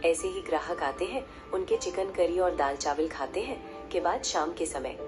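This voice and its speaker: A woman's voice: fairly low pitch, animated, moderate pace, music in the background. A woman narrator reading a scripted cartoon story.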